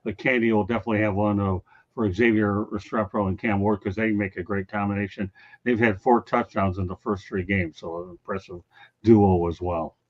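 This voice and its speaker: A man's voice: fairly low pitch, fairly even intonation, a little fast, a little mellow, dull audio. A man hosting a casual sports talk show, bluntly teasing and ranting at his co-hosts and viewers. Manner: blunt, teasing, addresses chat viewers by name.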